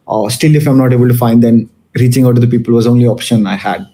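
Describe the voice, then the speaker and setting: A young man from Malaysia talks to a room of students in a loose, conversational way. His voice is mellow and sits in a middle pitch, and he often pauses on fillers and restarts his sentences. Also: steady tone. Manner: casual, many fillers and restarts. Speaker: guest speaker. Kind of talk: conference talk to students.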